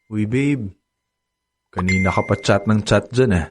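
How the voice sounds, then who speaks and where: A man's voice: fairly low pitch, unhurried, soft background music, lightly acted. A young man acting a devoted boyfriend in a radio drama, talking in a pleading, flustered way and stammering when pressed. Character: young man, lovestruck boyfriend growing suspicious. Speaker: lead character, devoted boyfriend.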